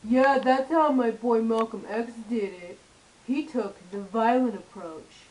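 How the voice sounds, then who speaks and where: A woman's voice: on the high side, unhurried, a little mellow, slight background noise, natural voice, rough recording. A woman voicing chatty, opinionated male puppets in a scripted school history show. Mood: brash.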